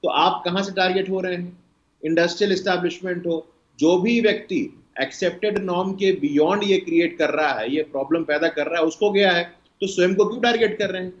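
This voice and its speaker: A man's voice: sits high, a little fast. An Indian man speaking as a party spokesperson in a televised political debate, emphatic, lecturing and argumentative. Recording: roomy, dull lo-fi sound.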